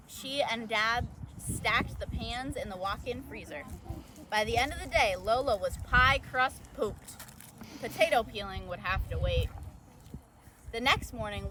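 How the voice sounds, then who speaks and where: A woman reading a children's story aloud as a volunteer storyteller, expressively acting out the dialogue and sound words, recorded outdoors. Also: on the high side. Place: outdoors at an orchard event. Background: outdoor ambience and background noise.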